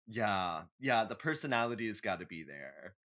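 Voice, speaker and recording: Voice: mid-range voice, sing-song, moderate pace, fairly bright tone. A podcast co-host in casual chat, giving candid, emphatic, sweary opinions like a comic rant.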